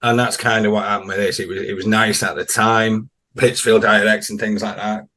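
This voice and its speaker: A man's voice: on the low side, little pitch movement, fairly quick, pushed and bright, a little hiss or hum. A man guest on a podcast panel, giving easygoing, conversational opinions. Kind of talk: casual podcast chat.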